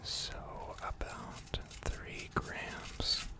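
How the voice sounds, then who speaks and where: A person in an ASMR roleplay voicing a gentle, attentive mechanic who tends robots, speaking formally and deliberately in a soft, breathy whisper. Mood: calm, methodical. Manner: slow, deliberate, soothing, formal.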